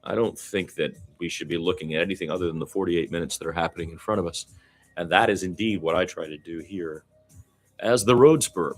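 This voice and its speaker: A man's voice: low voice, a little fast, soft background music. A man doing amateur radio-style basketball commentary in a conversational, folksy manner. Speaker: play-by-play commentator.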